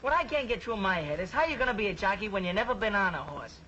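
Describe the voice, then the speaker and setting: Very high-pitched, wisecracking young New York sidekick. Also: male voice, animated, fast talker. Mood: coaxing. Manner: slangy, wisecracking. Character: wisecracking, skeptical young gang sidekick, loud show-off. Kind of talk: 1940s film acting. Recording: muffled, noisy old film soundtrack.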